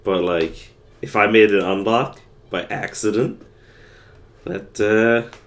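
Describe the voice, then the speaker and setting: A young man with a very low, hoarse voice that is wearing out. He rambles through his gameplay commentary with lots of fillers and restarts, talking to chat as he plays. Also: steady tone, slow. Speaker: livestreamer playing a game.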